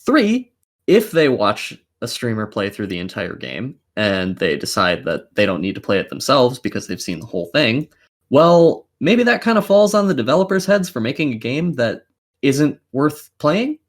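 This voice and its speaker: A man's voice: medium pitch, sing-song, moderate pace. A man co-hosting a casual podcast, giving opinionated commentary in a blunt, sweary, argumentative way. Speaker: podcast co-host.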